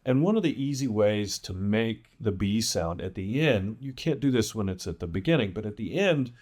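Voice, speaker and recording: Deep-voiced man teaching pronunciation slowly. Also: a little mellow. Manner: deliberate, emphatic enunciation of example words. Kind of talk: instructional lesson with demonstrated words.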